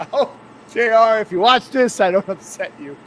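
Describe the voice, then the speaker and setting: A man's voice: sits high, animated, slow, a bit bright, a little hiss or hum. A man, a wrestler co-hosting a podcast, telling profane stories and laughing often. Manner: laughing, swearing storyteller. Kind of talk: casual profane chat.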